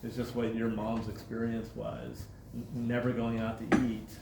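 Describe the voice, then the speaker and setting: A man's voice: mid-range voice, monotone, moderate pace, creaky, heavy background noise. Reflective male teacher in a roomy classroom. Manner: reflective, repeats words while thinking. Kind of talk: classroom discussion.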